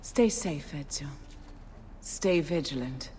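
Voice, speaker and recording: An actress playing a poised, shrewd Italian-accented madam and mentor in a video game, speaking in a measured, deliberate way. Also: female voice, deep voice, darkish tone, slight background noise. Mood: calm, counselling. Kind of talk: video game cutscene acting. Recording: long reverberant hall. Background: music.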